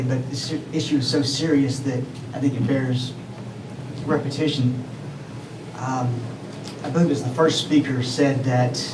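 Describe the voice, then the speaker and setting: A man giving an urgent, earnest public comment at a city council meeting, halting with fillers as he goes. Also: medium pitch. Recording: echoey hall, distant mic, noisy.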